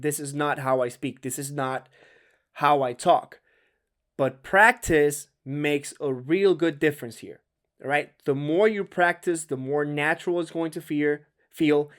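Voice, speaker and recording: A man's voice: fairly high pitch, measured pace, a bit bright. A man with a Spanish accent, a native Spanish speaker, giving a casual diction lesson in conversational English with emphatic stretched words and some stumbles. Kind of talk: casual instructional talk to camera.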